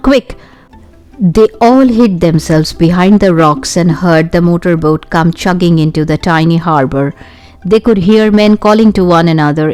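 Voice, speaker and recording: A woman narrating a children's adventure story in an expressive, clearly enunciated way. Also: on the low side. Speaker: audiobook narrator. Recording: music behind the voice. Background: background music.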